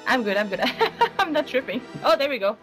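A woman's voice: high-pitched, big pitch swings, normal speed, a bit bright, soft background music. A woman improvising in character in an online role-play game, speaking hesitantly.

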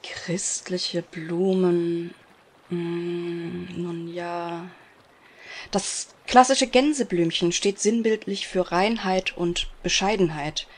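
A woman playing a gentle, helpful flower shop saleswoman in a parody detective audio drama. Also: fairly low pitch, takes it slowly. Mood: polite, helpful. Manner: polite, hesitant service talk.

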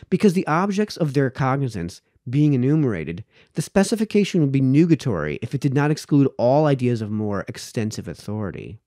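A man reads a formal old essay aloud with a soft, relaxed tone. His pitch rises and falls a lot over long, measured sentences, and he sometimes trips on a word. He is close to the mic in a dry indoor room. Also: no room sound. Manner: careful, measured, stumbles over words and rereads them. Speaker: reader, host of a read-aloud series. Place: small indoor home study. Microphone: close microphone on a boom arm.

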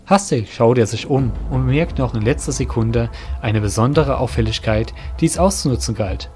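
Man, audio drama narrator, scripted fantasy storytelling, medium pitch, background music. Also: moderate pace, darkish tone. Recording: music under the voice.

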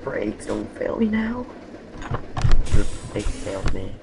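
A man's voice: on the low side, huge pitch swings, slow, noisy background. A man casually commentating his own gameplay, exclaiming at crashes and making self-mocking asides. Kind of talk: casual let's-play commentary.

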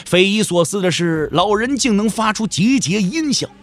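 A man's voice: high-pitched, animated, moderate pace. A man narrating a martial arts audio drama as a scripted, dramatic storyteller.

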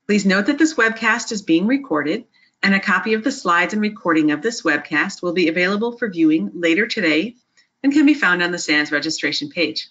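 Bright-voiced woman moderating a webinar. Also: lowish voice, a little fast. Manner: even, read-from-script delivery. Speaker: webcast moderator. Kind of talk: scripted webinar introduction. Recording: dull lo-fi webinar audio.

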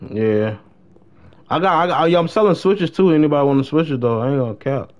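A man's voice: on the high side, animated, a little fast, a little mellow. A young American man chatting casually on a gaming livestream in heavy slang, often repeating his phrases. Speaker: live streamer. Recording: dull, noisy game stream audio.

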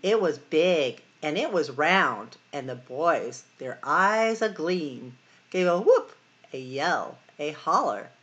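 Female storytime host, middle-aged. Unhurried, very lively intonation, dramatic sing-song delivery for young listeners. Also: sits low, muffled recording. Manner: sing-song, dramatic emphasis and drawn-out words. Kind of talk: expressive picture-book read-aloud for children.

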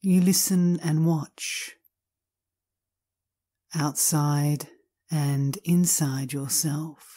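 A woman leads a guided meditation, reading short phrases in a calm, measured manner with long pauses. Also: low-pitched.

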